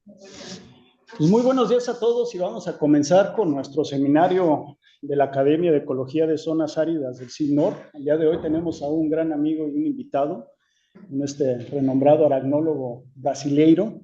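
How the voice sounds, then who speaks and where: A man's voice: on the high side, moderate pace, dark tone, muffled recording. A man opening a seminar and formally introducing the guest, reading the bio aloud with an occasional filler. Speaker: seminar host.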